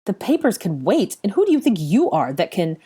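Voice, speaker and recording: A woman's voice: mid-range voice, sing-song, a little fast, mellow, slightly rough, voice pushed up. A woman voicing a cold, sneering young male wizard official who barks with contempt. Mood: contemptuous. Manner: barking, contemptuous.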